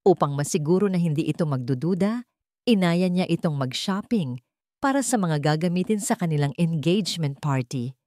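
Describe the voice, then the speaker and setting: A woman's voice: on the low side, expressive, moderate pace, warm tone, no echo, studio quality. A woman with a Filipino accent reading a scripted dramatic story as a storyteller.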